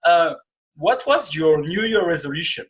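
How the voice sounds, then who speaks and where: Guy in his 30s hosting a podcast chat, voice a bit high, kinda halting delivery. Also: animated. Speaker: podcast host. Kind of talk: conversational interview. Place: small indoor room, video call.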